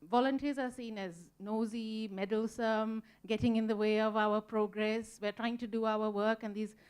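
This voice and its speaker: A woman's voice: a little high, steady tone, moderate pace, a little mellow, clear, resonant tone. A woman from Sri Lanka speaking on a conference panel, earnest and reflective, with frequent ums as she thinks. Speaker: museum volunteer panelist. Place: conference room.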